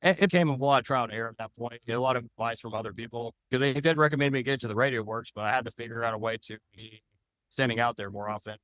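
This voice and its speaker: Male podcast guest, very quick and rambling, crisp smooth tone, casual answers. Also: medium pitch, fairly even intonation, fairly bright tone, no room sound, poor audio. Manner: rambling, loosely phrased explanations. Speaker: podcast guest, audio drama creator.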